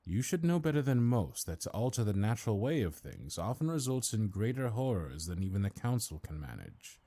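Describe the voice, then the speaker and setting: A man playing an ancient, stately high councilor in a fantasy audio drama, formal and pompous, with slyly condescending courtesy. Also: very animated, fairly quick, warm tone. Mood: measured, imperious. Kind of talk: audio roleplay fantasy acting.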